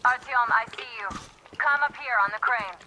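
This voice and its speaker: An actress playing a brisk squad commander who gives tactical orders over the radio. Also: female voice, on the high side, sharp tone, poor audio. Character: crisp female sniper coordinating a squad. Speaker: squad leader. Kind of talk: video game cutscene acting. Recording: game mix with battle noise.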